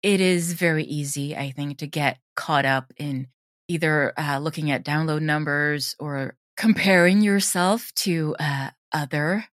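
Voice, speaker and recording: Female interviewee, deep register. Conversational, emphatic, informal delivery with a very dry studio sound. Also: a little slow, no echo. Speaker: guest, audio drama creator.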